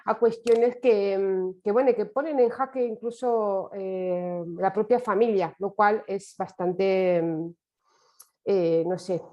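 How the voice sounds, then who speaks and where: A woman whose first language is Romanian gives an online lecture in a reflective manner, pausing and restarting often. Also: mid-range voice, highs cut off. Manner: reflective, with many hesitations and restarts. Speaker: course speaker. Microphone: video call.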